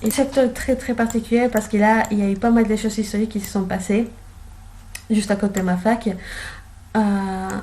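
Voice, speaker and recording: A university student from Colombia, a woman, casually explaining a place with frequent pauses and fillers. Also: medium pitch, steady tone, dark tone, a little hiss or hum. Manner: hesitant, many fillers and restarts. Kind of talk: casual explanation while showing a street.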